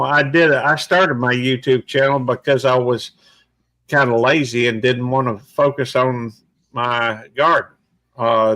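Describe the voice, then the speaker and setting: A man in his sixties chatting casually on a group live stream, slow and halting with many fillers and restarts. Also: mid-range voice, fairly bright tone, dry recording. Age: 60s. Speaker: YouTube streamer and police supervisor.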